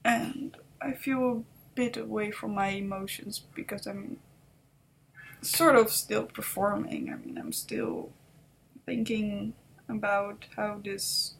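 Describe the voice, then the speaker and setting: A young woman with a Dutch accent talks to her webcam in a soft, unsure voice, slowly feeling her way through each thought. Her tone has a gravelly fry at the edges. A faint hiss sits under the webcam sound. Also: medium pitch, fairly even intonation, low-quality recording. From Netherlands. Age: 20s. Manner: soft-spoken, hesitant, self-doubting. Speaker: vlogger. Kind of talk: unscripted confessional vlog.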